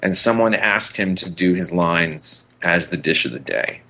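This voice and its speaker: A man's voice: deep voice, measured pace, bright, forceful tone, low-quality recording. A middle-aged man co-hosting a podcast, chatting casually and enthusiastically in an anecdotal way about pop culture nostalgia. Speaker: podcast co-host.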